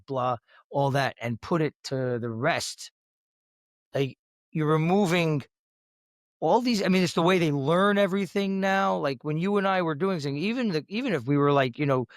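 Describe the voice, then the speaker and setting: A man's voice: fairly high pitch, no echo, clean studio sound. A man co-hosting a casual talk podcast, rambling and often restarting and repeating his words. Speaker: podcast co-host. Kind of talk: casual chat.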